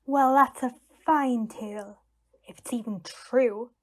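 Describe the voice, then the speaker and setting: An actress playing an eager but doubtful tavern listener, questioning a tall tale in an audio play. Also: female voice, on the high side, takes it slowly. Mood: sceptical. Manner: questioning, sceptical.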